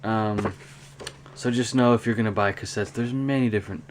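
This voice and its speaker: A man talking casually and unscripted in a hobby show-and-tell vlog, with a rambling, halting delivery. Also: fairly low pitch, fairly even intonation. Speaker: hobby vlogger, cassette collector. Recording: clear background noise.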